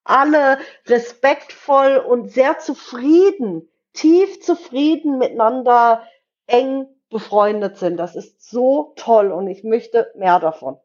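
A woman's voice: a little high, darkish tone. A woman co-hosting a podcast, casually discussing a series and repeating phrases for emphasis. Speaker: podcast co-host. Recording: slightly dull, lo-fi microphone sound.

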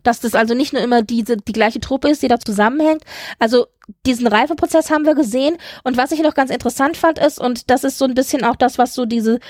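A woman's voice: high voice, quick pace, darkish tone, dry recording, studio quality. A woman hosting a podcast, talking through a series review in an analytical, point-by-point way. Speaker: podcast host. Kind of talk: conversational review discussion of a TV series.

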